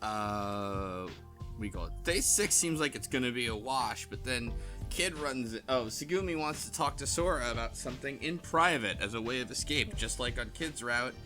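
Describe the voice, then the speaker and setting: A man's voice: medium pitch, animated, moderate pace. Joking man in casual chat over music. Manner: loose, joking, swears and laughs. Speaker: podcast host. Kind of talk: casual chat recapping a visual novel. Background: game music.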